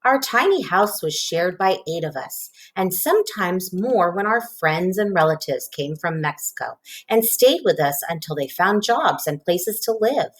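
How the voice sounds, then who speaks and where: A woman reading a children's storybook aloud in an expressive narrating style. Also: fairly low pitch, a little slow, hardly any pauses. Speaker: storybook reader.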